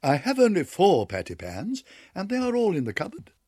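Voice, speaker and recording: A man's voice: sits high, huge pitch swings, moderate pace, mellow, no room sound, studio quality, voice pushed up, full character voice. A man voicing a prim, proper female cat hostess who speaks with polite disapproval.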